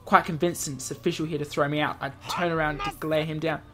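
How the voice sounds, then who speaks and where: A man's voice: on the high side, flat delivery, fast talker. A male gaming YouTuber reading a visual novel aloud and adding casual commentary. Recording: game music behind. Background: visual novel game music.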